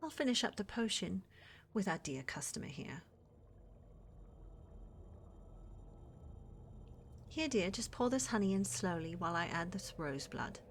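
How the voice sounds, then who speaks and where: Woman performing a teasing witch shopkeeper, swooping expressive pitch, breathy coaxing delivery, sly menace, ASMR fantasy roleplay, faint background noise. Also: brisk. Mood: soothing, coaxing. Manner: teasing, coaxing, sugary endearments with theatrical menace. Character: flirty, scheming witch who runs a potion shop. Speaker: voice actor in audio roleplay.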